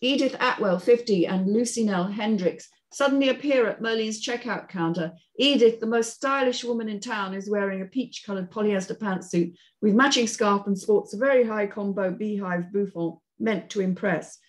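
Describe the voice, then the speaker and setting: Female narrator for a script read, medium-pitched, delivering action lines. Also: normal speed, hardly any pauses. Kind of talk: scripted narration of screenplay directions. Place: indoor room at home, on a video call.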